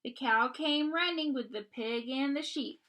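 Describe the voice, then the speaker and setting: A woman reading a picture book aloud to young children, expressively, pausing to ask simple questions and explain. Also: sits high, pushed and bright. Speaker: read-aloud storyteller.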